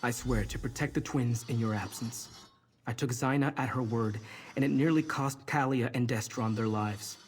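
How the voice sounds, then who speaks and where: An actor playing a wary, solemn sorcerer in a video game, speaking in a measured, grave way. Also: male voice, medium pitch, slight background noise, natural voice. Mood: solemn, resolute.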